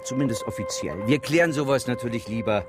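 A man's voice: medium pitch, animated, quick pace, close to an everyday voice. A man acting in a German radio drama as a slick, ruthless PR agency boss who talks in glib corporate spin. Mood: smoothly calculating. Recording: music under the voice.

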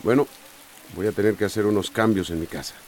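A man's voice: fairly low pitch, fast talker, a little mellow, natural voice. A man acting in a radio soap opera as a harried, dutiful middle-aged small-town mayor. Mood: worried, weary. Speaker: radio drama actor.

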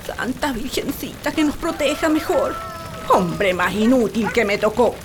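Actress as high-pitched, bossy Chilean country wife. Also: female voice, a little slow, lightly acted. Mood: scolding. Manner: scolding, exaggerated, colloquial. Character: bossy, nagging rural wife and doting mother. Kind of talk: comic radio drama acting.

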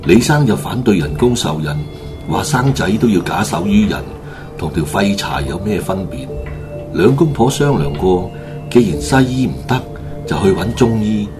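A man narrates a comic radio drama in a fairly low voice with animated intonation. He has a Hong Kong Cantonese accent and tells the scripted tale over clear background music. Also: normal speed.

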